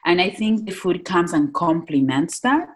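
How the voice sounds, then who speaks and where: A woman chef from Northwest Argentina with an Argentine Spanish accent, chatting in an interview with many pauses and fillers. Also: lowish voice, expressive, breathy edge. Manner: hesitant, many fillers, warm laughs. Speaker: chef and cafe owner. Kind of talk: casual interview chat.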